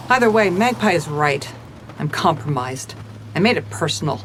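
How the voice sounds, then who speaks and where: A woman's voice: low voice, animated, moderate pace, fairly bright tone, slight background noise, low-quality recording. An actress playing a hard-boiled, weary female police commissioner in a noir audio drama, with a wry, clipped delivery. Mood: bitter, defeated. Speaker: narrator and lead character.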